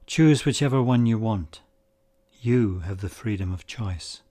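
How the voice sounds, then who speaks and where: Low-voiced man guiding a meditation. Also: dark tone. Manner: measured, deliberate, with short pausing phrases. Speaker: meditation guide. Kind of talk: guided meditation, slow spoken instruction.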